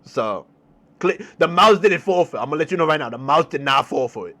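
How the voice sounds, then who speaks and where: A young man with a New York accent telling a story in a casual, slangy, animated way, repeating phrases for emphasis. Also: a little high, a little fast. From New York City, United States. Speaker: storytelling streamer.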